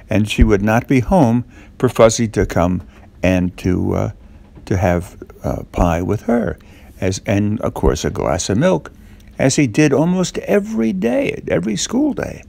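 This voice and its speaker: A man telling a children's story off the cuff, in a halting way with little questions to the listener. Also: fairly low pitch, gravelly, a little mellow.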